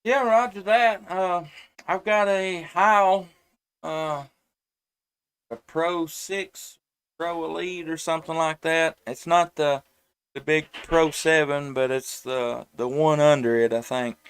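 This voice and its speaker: Male, 30s, slightly higher-pitched, smooth tone, easygoing rambling radio hobbyist, dry headset capture. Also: steady tone, slow pace, fairly bright tone. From United States. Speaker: amateur radio operator and live stream host. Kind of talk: casual ham radio chat. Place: at home indoors. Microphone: headset microphone.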